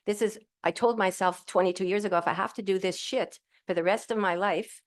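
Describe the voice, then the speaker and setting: A woman's voice: medium pitch, fairly even intonation, fairly quick. A middle-aged woman telling her own story as a panel guest at a live event, cheerful, candid and rambling, speaking into a handheld microphone. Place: event hall with audience.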